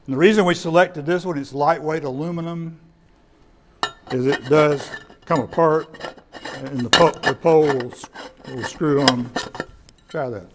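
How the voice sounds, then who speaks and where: A man with a slightly high voice gives an informal talk to a group. He explains things conversationally, often doubling back on words, as the presenter in a meeting room. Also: measured pace, pauses often, background noise. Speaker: presenter of radio kits at a club meeting. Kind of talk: informal presentation with audience questions.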